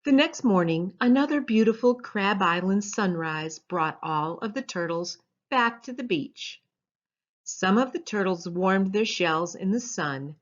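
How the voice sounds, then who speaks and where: Female storybook narrator: mid-pitched, slow, expressive read-aloud style, slightly muffled lo-fi recording. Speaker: author reading a storybook. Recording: dull lo-fi sound.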